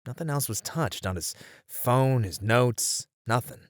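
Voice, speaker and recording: A man's voice: medium pitch, animated, measured pace, no echo, clean studio sound, natural voice. A man acting as a weary detective in an ASMR roleplay, musing conversationally over a murder case in a casual way. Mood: matter-of-fact. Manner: casual, musing, sighing delivery. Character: weary male detective puzzling over a serial killer case.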